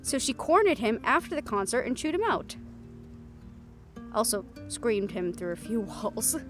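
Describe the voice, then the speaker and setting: A high, girlish-leaning young siren-banshee played by a woman speaks intimately, as in a roleplay audio drama. She is affectionate, wry and playfully teasing, telling things conversationally. Guitar music plays behind her. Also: sing-song, unhurried. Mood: wry, amused. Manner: soft-hearted, teasing, conversational storytelling.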